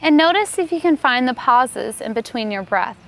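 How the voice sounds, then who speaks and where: A woman yoga teacher leading a guided meditation, giving calm, unhurried, soothing instructions. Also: high voice.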